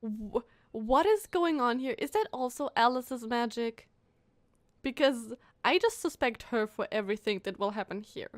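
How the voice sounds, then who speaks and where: A high, very lively woman streamer reacting to a game in casual, incredulous chat. She fires off questions freely, and her close, dry sound sits over faint music. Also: moderate pace, no echo. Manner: animated, incredulous, asks many questions. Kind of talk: casual live game commentary.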